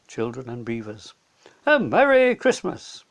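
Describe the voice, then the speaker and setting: A man's voice: medium pitch, wildly swooping pitch, a little slow, creaky, fairly bright tone, full character voice. Man playing a jolly, lilting Father Christmas. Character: jolly gift-giving Father Christmas, booming and kindly.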